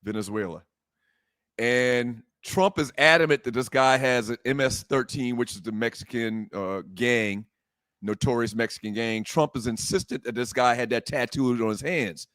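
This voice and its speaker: A man co-hosting a casual sports and current events talk show, speaking in an animated, blunt way and swearing freely. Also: mid-range voice, normal speed, no room sound, studio quality.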